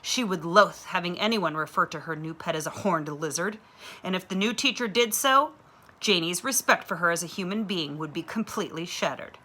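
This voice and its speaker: Woman reading a kids book out loud, bright crisp voice, sits a bit low, expressive. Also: moderate pace. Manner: clear, expressive storytelling. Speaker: reader of a children's novel. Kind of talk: reading a story aloud.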